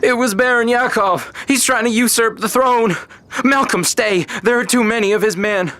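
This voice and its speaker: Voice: medium pitch, normal speed, pushed and bright, no echo, soft background music, lightly acted. Anxious fantasy father of a grown daughter as a performer plays him, audio drama, very dry recording. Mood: grim, urgent. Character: worried father of a grown sorceress.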